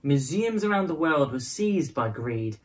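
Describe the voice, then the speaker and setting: A woman narrates a children's storybook in a fairly low voice. Her intonation is very lively, rising and falling widely as she shapes prose and verse expressively for young listeners. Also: unhurried. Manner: expressive, dramatized phrasing for children. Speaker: storyteller reading a children's picture book.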